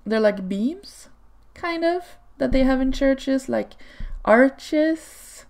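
A woman whose first language is Swedish, chatting casually over gameplay footage in a relaxed way, with frequent ums and small laughs. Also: sits high, very slow, gravelly. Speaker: video creator. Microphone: home desk microphone.

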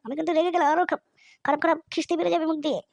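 A cocky cartoon schoolboy voiced by an actor with a very high, quick voice. He talks in brash, scolding street slang, and the sound is dry and close. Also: fairly even intonation, gravelly, dry recording. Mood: bossy, threatening. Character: brash, foul-mouthed schoolboy chasing the new girl. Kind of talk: comedy cartoon dialogue.

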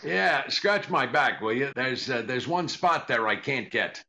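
An older man playing a dry, put-upon comedian husband in a 1940s radio comedy, deadpan and hesitant, with a gravelly voice. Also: medium pitch, measured pace, heavily acted. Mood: deadpan teasing.

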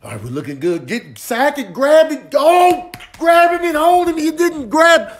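A man's voice: very high-pitched, big pitch swings, measured pace, voice pushed up, full character voice. A man voicing an exasperated football coach in a comedy dub, barking repeated orders with frustrated swearing. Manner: repetitive, frustrated yelling with mild swearing.

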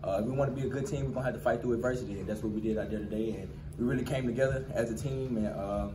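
A young man in his twenties, a college football player answering questions at a post-game press conference, talking quickly in relaxed slang and repeating phrases. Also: mid-range voice. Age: 20s. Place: crowded press room indoors. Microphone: cluster of handheld press mics. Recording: roomy distant press room with noise.

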